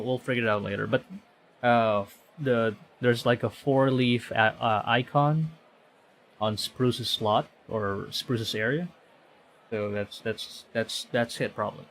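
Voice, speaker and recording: A man reading a visual novel aloud on stream, conversational, often stumbling and correcting himself. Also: medium pitch. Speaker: VTuber streamer.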